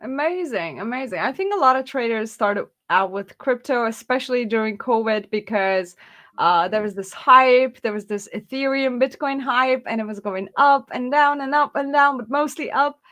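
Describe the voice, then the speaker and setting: A woman's voice: a little high, normal speed, a bit bright. A woman hosting an interview, friendly and chatty, laughing often and using many fillers. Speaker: interview host. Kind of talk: casual interview.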